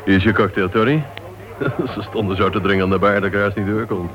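An actor playing a chatty, genial club member in a Dutch radio play. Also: male voice, on the low side, fast talker, crowd noise, lightly acted. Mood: genial, teasing. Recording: dull old radio recording.

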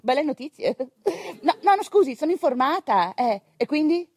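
Excitable, very high-pitched expectant mother. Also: normal speed, no room sound, studio quality. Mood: anxious, compliant. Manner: breathless chatter, exclamations, self-interrupting, comically bubbly. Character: excitable, chatty, naive expectant mother in hospital. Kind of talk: comic theatre monologue.

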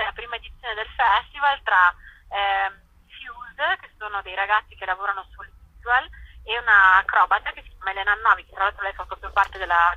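A woman's voice: fairly high pitch, pushed and bright, husky, muffled recording. A woman explaining things thoughtfully and emphatically in an interview, as a festival director.

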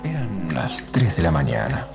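An actor playing a comics writer who narrates a strange visitation in a radio play, measured and suspenseful. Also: male voice, sits low, wildly swooping pitch, creaky, loud music behind the voice, exaggerated voice. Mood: suspenseful wonder.

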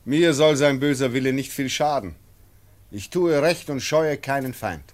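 A steadfast hunter and father, played by a man, speaking old verse with firm resolve. His voice sits at an ordinary middle pitch, and he sounds unshaken. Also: normal speed, close to an everyday voice. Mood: resolute. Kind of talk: classic radio drama.

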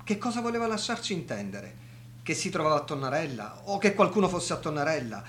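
An earnest man in a radio drama. He speaks fast with lively intonation, a touch high in pitch, over faint background noise. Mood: earnest, questioning. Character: earnest men around a doctor: brother, friend, colleague. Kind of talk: radio drama monologue and dialogue.

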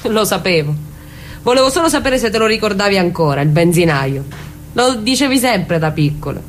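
Actress as animated, dreamy younger sister. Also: female voice, medium pitch, fairly quick, faint music behind, lightly acted. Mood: playful. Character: dreamy, teasing younger sister, quietly defiant. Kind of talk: naturalistic radio drama dialogue.

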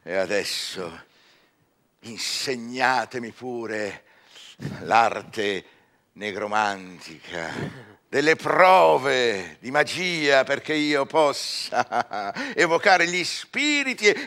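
An actor playing an eager, obsessed scholar craving magical power, theatrical and impassioned in a classical radio drama. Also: male voice, mid-range voice, sing-song, very slow, bright, forceful tone, full character voice. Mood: delighted, hungry. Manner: theatrical, impassioned, lingering on words.

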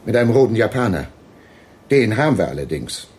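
An actor playing a folksy, apologetic small-town police sergeant in a radio drama, with a hesitant, roundabout way of talking. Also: male voice, fairly low pitch, sing-song, a little fast, darkish tone. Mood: chatty. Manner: hesitant, roundabout, colloquial, chatty.

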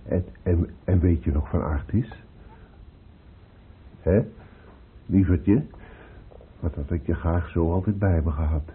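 A male actor playing a tender, protective middle-aged father in a radio drama, coaxing haltingly and repeating his phrases. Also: low voice. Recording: muffled, noisy old radio play recording.

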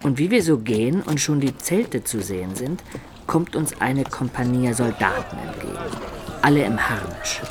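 A man's voice: mid-range voice, big pitch swings, moderate pace, background noise, close to an everyday voice. An actor playing a roguish man of peasant birth, narrating his life colloquially and reflectively in a radio play. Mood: wry storytelling.

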